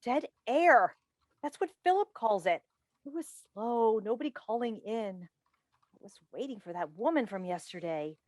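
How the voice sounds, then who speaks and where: Woman acting an animated, teasing radio-host mother. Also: on the high side, a little slow, dry recording. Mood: flustered, apologetic. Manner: effusive, coaxing, emphatic, theatrical delivery. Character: chatty, teasing radio call-in host and doting, meddling mother. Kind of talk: staged play reading. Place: indoors on a video call. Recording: recorded over video call.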